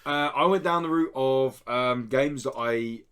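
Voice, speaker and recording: Male podcast host, mid-pitched, informal group chat about gaming. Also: measured pace, a bit bright, smooth.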